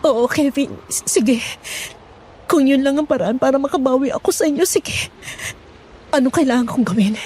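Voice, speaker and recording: A woman playing a gentle, guilt-ridden pregnant young mother in a horror audio drama, speaking in a halting, apologetic way. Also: high-pitched, slightly rough. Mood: hesitant, resolved.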